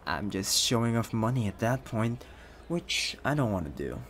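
A man playing a rich, possessive, doting boyfriend in a soft-spoken, coaxing ASMR roleplay. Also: fairly low pitch, sing-song, slight background noise. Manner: soft-spoken, unhurried, coaxing with pet names. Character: rich possessive young boyfriend, smooth, doting, quietly menacing.

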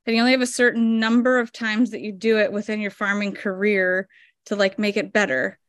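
A woman's voice: medium pitch, flat delivery, fairly quick, dry recording, clean studio sound. A woman in her thirties, a flower farmer guest explaining her work step by step with lots of fillers, over a video call from home. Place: home room indoors. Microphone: video call webcam.

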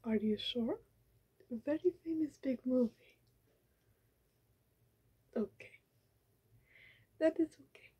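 A woman's voice: high voice, slow, pauses often, husky, full character voice. A young woman in an ASMR roleplay, whispering as a scheming female vampire in silly disguises who pleads in broken, formal English. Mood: wheedling. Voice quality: soft whisper. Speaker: ASMR creator. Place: small bedroom with pink backdrop. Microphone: close webcam framing.

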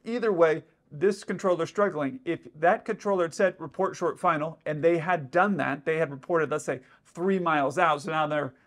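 A man's voice: fairly high pitch, moderate pace, hardly any pauses, fairly bright tone. A man in his thirties, an airline pilot, explaining radio exchanges to camera in a casual, step-by-step way, recorded in a dim indoor room. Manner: explanatory, with frequent "let's say" asides.

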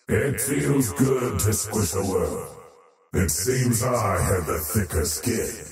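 An actor voicing an arrogant, menacing faceless void creature in a video game, with pompous, ominous and theatrical delivery. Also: male voice, fairly low pitch, darkish tone, far from the mic, exaggerated voice. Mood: scornful. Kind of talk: theatrical game character voice lines. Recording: roomy reverb over background music.

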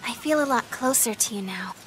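A woman playing a sweet, earnest, lovestruck teenage girl in a video game, gentle yet forthright. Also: fairly high pitch, animated, a little fast, slight background noise. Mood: happy, tender. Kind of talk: video game cutscene acting.

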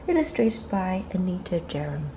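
A woman storyteller reading a children's picture book aloud in an expressive, deliberate way. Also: lowish voice, noisy background.

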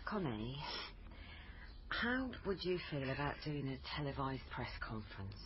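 An actress with a British accent playing a probing, authoritative police liaison officer in a naturalistic radio drama. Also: female voice, very deep voice, sing-song, unhurried, highs cut off, background noise, heavily acted. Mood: calm, persuasive. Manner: pointed, interrogating. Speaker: actor in a radio crime drama.

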